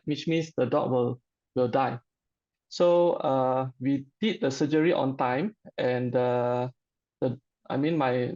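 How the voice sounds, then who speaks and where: A middle-aged man with a Malaysian accent tells a personal story informally. His voice sits at a medium pitch with a creaky, gravelly edge. He speaks haltingly, with frequent hesitations, and the audio is dull and lo-fi. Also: darkish tone. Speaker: customer giving a testimonial.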